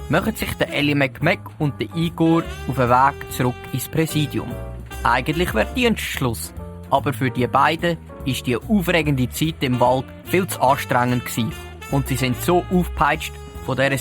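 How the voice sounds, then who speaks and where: Swiss guy narrating an audio play, middle pitch, clear music underneath. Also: moderate pace. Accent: Swiss German. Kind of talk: scripted storytelling narration for audio drama.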